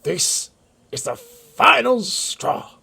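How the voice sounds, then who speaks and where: A man's voice: very high voice, very animated, very slow, vocal fry, background noise, full character voice. A man playing a stern, exasperated logging company manager in an audio drama, authoritative and scolding.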